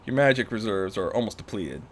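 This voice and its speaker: A gruff old male mentor, acted out by a man for a storybook reading, speaking low and quiet. He is terse and dry, measuring out his advice like a retired crime-fighter. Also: lively intonation, a little fast. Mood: critical, measured.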